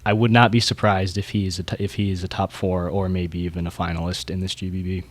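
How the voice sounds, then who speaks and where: A man's voice: low-pitched, little pitch movement, quick pace, no room sound. A man co-hosting a podcast, giving casual hobbyist commentary in a conversational way. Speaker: podcast co-host.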